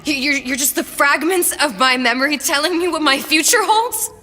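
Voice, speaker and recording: A woman's voice: sits high, normal speed, noisy background, lightly acted. An actress playing a troubled, bookish young woman in a dramatic video game. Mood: shaken, despairing. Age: young adult. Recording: music behind the voice.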